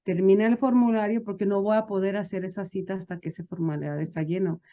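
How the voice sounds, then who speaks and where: Woman, mellow warm tone, mid-range pitch, informal interview guest, dull lo-fi recording. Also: fairly even intonation, quick pace. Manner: mixes Spanish and English. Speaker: interview guest, business advisor.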